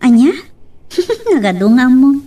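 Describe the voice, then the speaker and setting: A gentle young sweetheart, acted by a woman in radio-drama style, high-pitched and Ilocano-accented. She sounds a little away from the microphone. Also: a little slow, far from the mic. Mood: coy.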